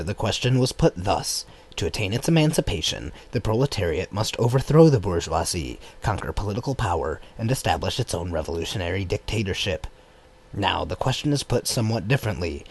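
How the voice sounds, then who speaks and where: A man narrating a political text as an audiobook in a measured, formal manner. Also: deep voice, a little mellow. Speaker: audiobook reader.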